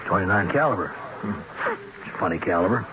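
An actor playing an easygoing, brusque police captain in a 1970s radio drama, casually questioning. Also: male voice, deep voice, expressive, a little fast. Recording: dull, noisy old radio broadcast.

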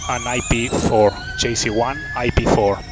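A man's voice: mid-range voice, huge pitch swings, normal speed. A man working as a race engineer, giving brief updates and repeating key calls over team radio. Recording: noisy race radio, vehicle noise behind.

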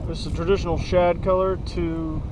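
Heavy wind and water noise surrounds a young man with an American accent, talking into an action camera on an open boat. His voice sits a bit high for a man. He chats casually like a fishing vlogger, unscripted and halting, in a laid-back way. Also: little pitch movement. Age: young adult. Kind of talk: casual vlog chat. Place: outdoors on an open boat on a lake. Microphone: action camera, sometimes a few steps away.

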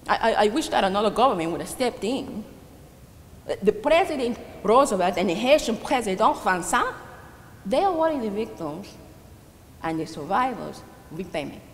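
A woman's voice: mid-range voice, sing-song, normal speed. A woman performing a theatrical monologue as an old, proud and sorrowful Dominican immigrant widow with a Dominican Spanish accent, confiding her story hesitantly with emphatic swells. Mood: indignant, bitter. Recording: roomy reverberant hall with background noise.

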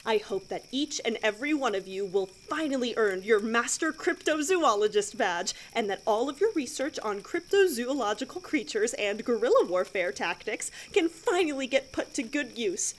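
A woman's voice: a little high, animated, normal speed, hardly any pauses, a little hiss or hum, lightly acted, low-quality recording. A woman playing a cheery, prim, darkly unfazed scout troop leader in an audio drama, with an encouraging, condescending manner.

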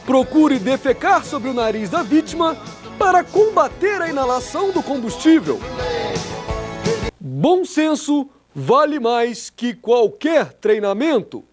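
Deadpan male narrator, high and lively, music bed. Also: slow. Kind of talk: parody instructional voice-over. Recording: voice-over over loud music.

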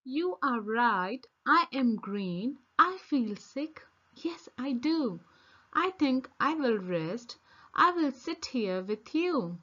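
A woman voicing a stubborn, excitable female monkey from a children's storybook, with emphatic, sing-song repetition. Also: high voice, takes it slowly, dull audio, exaggerated voice. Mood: queasy, subdued. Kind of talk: children's storybook read-aloud.

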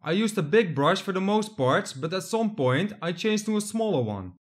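A man's voice: sits high, sing-song, moderate pace. A young man from the Netherlands, narrating his hobby build step by step in a plain voice-over.

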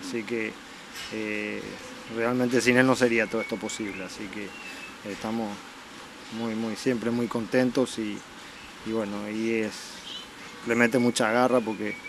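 A man with an Argentine accent giving halting, repetitive answers in a spontaneous interview. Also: mid-range voice, noisy background. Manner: halting, repeats words. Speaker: sheep farm owner interviewee.

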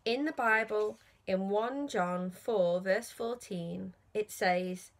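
A woman in her thirties reading a bedtime story aloud to children, sing-song and expressive, stressing key words. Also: medium pitch. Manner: slow, sing-song rhyming delivery with emphasis on key words.

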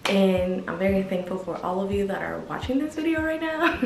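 A woman's voice: mid-range voice, very animated, a little fast, gravelly, background noise. A woman in her twenties from Guatemala, speaking, chatting casually and upbeat in a Q&A vlog from her bedroom. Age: 20s. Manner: chatty, upbeat, lots of "like" and "you know". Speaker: YouTuber. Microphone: camera at medium distance. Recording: echoey room, faint music.

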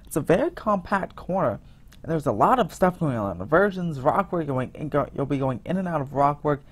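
A man giving a casual voice-over about construction photos, rambling with many restarts and fillers, sounding excited. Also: on the high side, brisk, breathy edge. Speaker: theme park vlogger.

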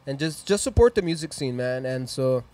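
A man's voice: medium pitch, animated, fairly quick, darkish tone, no echo. A man in his thirties with a Mexican accent hosting a live music stream in a small studio, chatting loosely and plugging sponsors into a handheld mic. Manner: rambling, upbeat, plugs sponsors and socials. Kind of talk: casual chat and shout-outs. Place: small decorated studio room, indoors.

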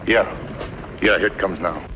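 An actor playing a nervous, hurried outlaw henchman in a 1950s radio western. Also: deep voice, sharp tone. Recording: noisy, dull 1950s radio recording.

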